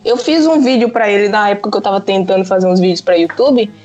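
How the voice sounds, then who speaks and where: A woman's voice: medium pitch, quick pace, a little mellow, dull audio. A woman with a Northeastern Brazilian accent talks casually about music as a podcast host, chatty and enthusiastic. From Pernambuco, Northeast Brazil.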